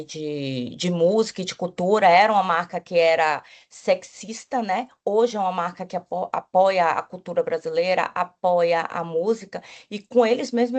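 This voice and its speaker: A woman's voice: fairly low pitch, darkish tone. Woman speaking on a panel. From Bahia, Brazil. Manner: enthusiastic, with frequent restarts and "né?" tags. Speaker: panelist, agency executive. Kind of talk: panel talk.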